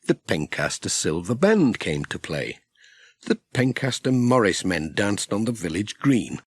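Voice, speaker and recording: British male children's storyteller: lowish voice, lively intonation, scripted audiobook read. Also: unhurried, breathy edge, dry recording, studio quality.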